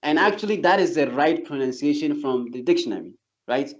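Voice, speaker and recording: A man's voice: fairly high pitch, lively intonation, brisk. A man who teaches English, explaining his tips step by step in casual talk, often restarting his sentences. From not from Turkey. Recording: slightly dull lo-fi sound.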